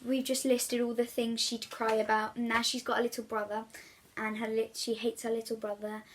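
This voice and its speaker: A woman reading a children's story aloud in an expressive storytelling style, as the reader addressing young listeners. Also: a little high, normal speed, slight background noise.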